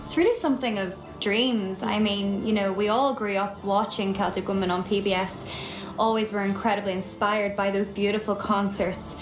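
A woman's voice: mid-range voice, steady tone, moderate pace, echoey room, over music, rough recording. A woman singer giving effusive, emotional thanks in an interview.

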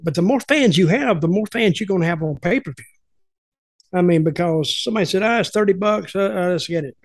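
A man's voice: sits high, lively intonation, brisk. An older man telling rambling, folksy stories as a podcast host on a video call from home. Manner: rambling, folksy, repeats words. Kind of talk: casual storytelling chat. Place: home room with lamp. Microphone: headphones, webcam video call.

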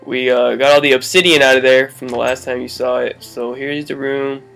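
A man's voice: mid-range voice, fairly even intonation, moderate pace, vocal fry, a bit bright, soft background music, poor audio. A young man hosting his own gaming video, casually walking viewers through builds with a rambling, laid-back delivery full of fillers. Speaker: gaming channel host. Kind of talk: casual game walkthrough commentary.

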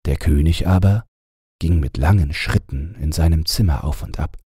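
Very deep, husky male storyteller. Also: moderate pace, dark tone, no echo, studio quality. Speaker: audiobook narrator. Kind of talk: scripted bedtime fairy-tale narration.

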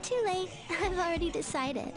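A coy, willful young woman in a video game, high-pitched and lilting. She teases with very lively swings of pitch over clear background music. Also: normal speed. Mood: pouty, teasing. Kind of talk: video game cutscene voice acting. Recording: music under dialogue.

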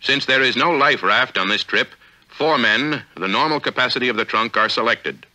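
An American man reading formal, measured, authoritative narration for a 1950s instructional film, on an old film soundtrack. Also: mid-range voice, pushed and bright, low-quality recording. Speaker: narrator of a military training film.

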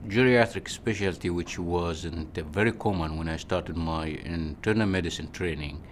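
Egyptian-accented man in his forties, very deep voice, unhurried and halting interview answers, faint room tone. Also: slight background noise. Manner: unhurried, halting, with restarts and "you know". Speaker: physician.

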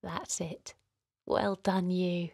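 A woman gently guiding a children's relaxation in a soothing, encouraging way, her voice softly breathy and hushed at times. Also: fairly low pitch, flat delivery, extremely slow, dry recording, studio quality. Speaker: host of children's guided relaxation. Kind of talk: guided meditation narration for children.

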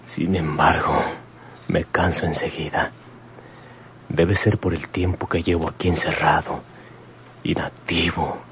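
A man playing a weary, anxious imprisoned son, brooding aloud in a radio drama on a noisy recording. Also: deep voice.

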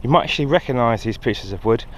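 A man's voice: medium pitch, quick pace, low-quality recording. A British man chatting casually and ramblingly to viewers as a hobbyist host, muttering to himself outdoors. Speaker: amateur radio hobbyist and video host. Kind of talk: casual hobby vlog chat. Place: outdoors in a garden. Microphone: handheld action camera. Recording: action camera outdoors, steady background noise.

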